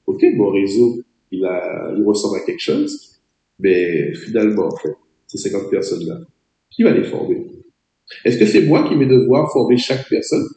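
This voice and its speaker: A French man with a cold drives home his points to a webinar audience, pressing and exhorting them directly. His voice sits very dark and mellow, and it rings with room echo over the video call. The delivery is that of an insistent trainer. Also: on the low side, huge pitch swings, moderate pace, creaky. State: has a cold, voice a bit off. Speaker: network marketing trainer.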